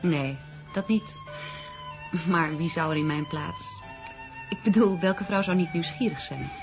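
An actress playing a polite, sensitive young female teacher in a radio drama, speaking in an earnest, soft way. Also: fairly low pitch, unhurried, poor audio. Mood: polite, curious. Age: young adult. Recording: radio play with music underneath.

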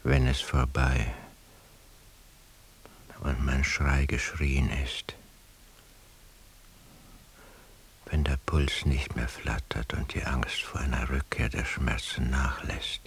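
An actor playing a weary, anguished, sleepless man in a radio drama monologue, morose and minutely observant, in a breathy, half-whispered, husky voice. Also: a bass voice, level tone, slow, slight background noise, heavily acted. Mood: numb, anguished.

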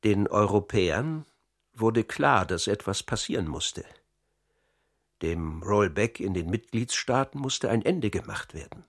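An insider laying out a conspiracy in a radio crime drama. His voice is somewhat low and husky, his account measured and sober, sinking quieter at the gravest points. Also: lively intonation. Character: man confessing an insider conspiracy, calm. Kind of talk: radio drama, confessional narration.

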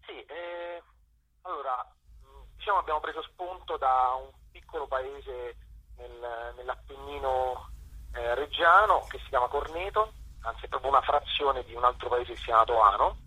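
A man interviewed as a theatre director and actor explains things casually, with a hesitant, halting delivery. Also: medium pitch, pushed and bright, breathy edge, rough recording.

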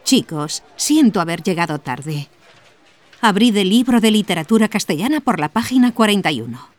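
A woman's voice: mid-range voice, sing-song, no room sound, natural voice. A woman playing a grave, troubled schoolteacher. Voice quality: grave, measured. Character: earnest female schoolteacher, serious and troubled. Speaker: teacher. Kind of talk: radio drama acting.

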